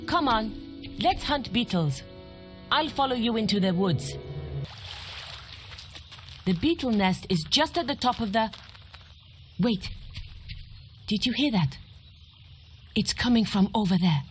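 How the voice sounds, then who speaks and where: An actress playing a plucky, eager young apprentice adventurer in scripted fantasy game dialogue. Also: female voice, a little high, expressive, slow, pauses often, faint music behind. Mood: eager, urgent.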